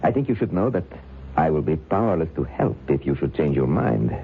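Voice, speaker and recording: An actor playing a suave, sinister, condescending occultist in an old-time radio drama, speaking with pompous, silky formality. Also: male voice, extremely low pitch, sing-song, a little fast, creaky, full character voice. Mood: quietly menacing. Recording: muffled, hissy old radio drama recording.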